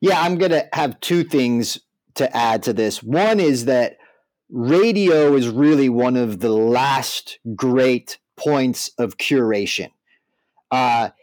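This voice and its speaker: A man with a medium-pitched voice speaks as a podcast co-host. His delivery is informal and conversational, recorded dry with little room sound. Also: takes it slowly, a little mellow, no room sound, rough recording. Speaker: podcast co-host, music artist manager. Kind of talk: podcast discussion with some read-out article passages.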